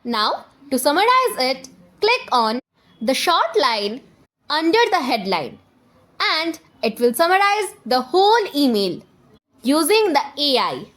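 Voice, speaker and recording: A woman with a high voice narrates a scripted software tutorial. Her intonation is very animated, moving freely up and down. She speaks directly to the viewer as an instructor, laying out each step in order. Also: unhurried. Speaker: tutorial presenter.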